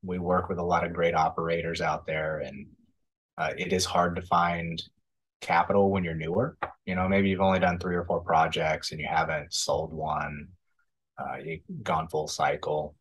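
A man in his thirties, a podcast guest, giving measured, thoughtful answers with frequent ums over a video call. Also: sits low, level tone, a bit bright, slightly rough. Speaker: podcast guest, real estate investor. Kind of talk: casual interview answers. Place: indoor room, video call.

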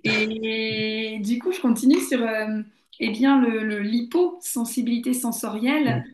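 A woman's voice: a little high, unhurried, a little mellow, slight background noise. A woman in her forties hosting a video call interview, speaking appreciatively with some hesitant fillers. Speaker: interview host. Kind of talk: casual interview chat. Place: bright living room. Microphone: laptop on video call. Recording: roomy video call audio.

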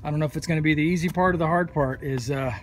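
A man's voice: fairly high pitch, rapid-fire, a little hiss or hum. A man talking casually and matter-of-factly as he walks a job site, with fillers and restarts, recorded on a phone outdoors in a stadium. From United States. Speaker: pressure washing contractor. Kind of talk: casual walkthrough narration. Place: outdoor high school stadium stands.

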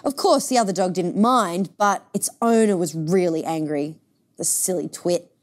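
A woman's voice: fairly low pitch, moderate pace, close to an everyday voice. A woman voicing a cheerful, easygoing young girl in a picture book, chatty and frank. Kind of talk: picture book read-aloud.

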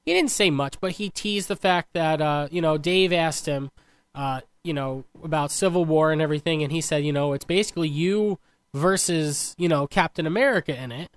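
A man's voice: on the high side, moderate pace. A man from upstate New York co-hosting a podcast in casual chat, sounding stuffy and congested. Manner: easygoing, chatty with fillers. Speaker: podcast co-host.

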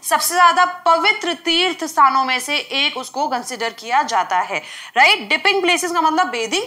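A young Indian woman teaching in front of a smartboard, her voice high and ringing. She reads lines out slowly and deliberately, then explains them in quick, mixed speech, often checking in with "okay" and "right." She is miked on a lapel in a studio. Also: sharp tone. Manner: asks the class questions, frequent "okay" and "right". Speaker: English teacher. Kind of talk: classroom lesson explanation.

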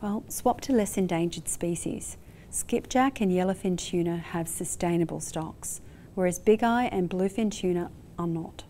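A woman in her forties with an Australian accent, presenting a scripted science lesson to camera in a measured, explanatory way. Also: lowish voice, dark tone, a little hiss or hum. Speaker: marine biology professor presenting. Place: indoor lab studio with aquarium.

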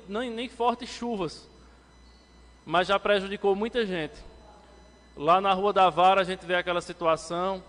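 Councilman's formal speech in a reverberant chamber. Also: a bit bright. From Brazil. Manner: formal, addresses the chair, rises to emphatic pitch when criticizing. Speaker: city councillor. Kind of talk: legislative floor speech. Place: council chamber, indoors, medium-sized. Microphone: lectern microphone, standing.

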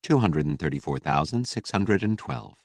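A man's voice: low-pitched, expressive, normal speed, creaky, no room sound, studio quality, heavily acted. A man voicing an impersonal flight simulator computer in an audiobook, announcing figures precisely.

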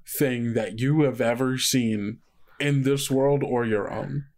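A man's voice: mid-range voice, steady tone, slow pace, a little mellow. A man with an American accent who runs a tabletop game, narrating off the cuff in a conversational, rambling way full of asides. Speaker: game master.

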